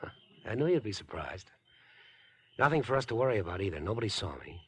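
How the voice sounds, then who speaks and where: A man's voice: on the low side, big pitch swings, fast talker, husky. An actor playing a falsely friendly, wheedling mama's-boy murderer in a 1950s radio suspense drama. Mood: smug, confiding. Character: smooth, insinuating, grown-up mama's-boy murderer with obsessive friendliness. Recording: noisy vintage 1950s radio broadcast.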